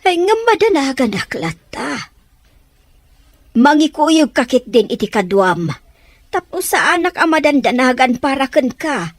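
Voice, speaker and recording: A woman's voice: high-pitched, sing-song, a little slow, no room sound. A woman playing a worried, caring wife and mother with an anxious, questioning manner, in radio-style drama.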